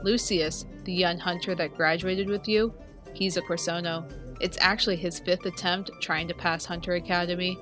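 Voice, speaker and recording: A woman's voice: lowish voice, fairly even intonation, moderate pace, music in the background, close to an everyday voice. A woman playing a seasoned, friendly vampire hunter who mentors a newcomer in a conversational, teasing way in a fantasy audio roleplay. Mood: explaining, calm. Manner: conversational, explanatory, playful teasing. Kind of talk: scripted fantasy audio roleplay addressed to the listener.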